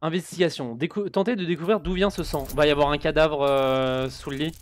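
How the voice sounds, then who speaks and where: A man's voice: fairly high pitch, steady tone, fairly quick. A man streaming a video game, joking casually and reading in-game text aloud. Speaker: gaming streamer. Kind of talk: casual let's-play commentary.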